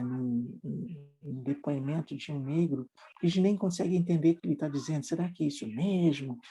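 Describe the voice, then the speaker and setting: A man's voice: fairly high pitch, warm tone, rough recording. A middle-aged man, a guest English teacher thinking aloud in a reflective, hesitant way with many restarts. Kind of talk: conversational podcast interview.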